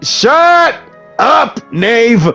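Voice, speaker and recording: Slow-talking playful male streamer. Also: extremely high pitch, gravelly, faint music behind. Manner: playful, stumbling and excitable. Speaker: gaming streamer. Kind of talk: reading game choices and commenting.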